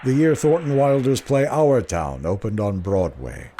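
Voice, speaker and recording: A man hosting a radio drama series, giving measured, scripted narration in a theatrical, portentous manner. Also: medium pitch, noisy background. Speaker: host, producer and star of a radio drama series. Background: faint music.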